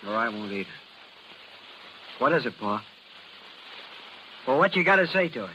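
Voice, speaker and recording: A young country man, green and stubborn. His voice is forceful and medium in pitch, in old radio drama style with a dull, slightly noisy sound. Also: sing-song, normal speed. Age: young adult. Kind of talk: 1930s radio drama acting.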